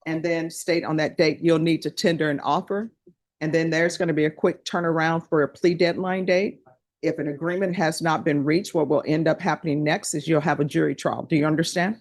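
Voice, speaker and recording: A woman judge running a courtroom docket, brisk, procedural and polite as she sets dates. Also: low voice.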